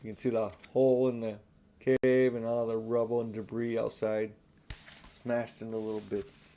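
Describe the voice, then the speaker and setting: A man's voice: lowish voice, level tone, moderate pace, mellow, a little hiss or hum. An older man reading a children's storybook aloud as narrator. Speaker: audiobook reader.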